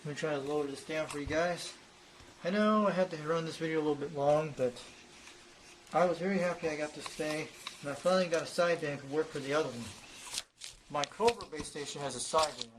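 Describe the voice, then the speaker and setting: A man's voice: fairly high pitch, poor audio. A man presenting a casual, unscripted hobby show-and-tell in a rambling, repetitive way. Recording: noticeable background noise.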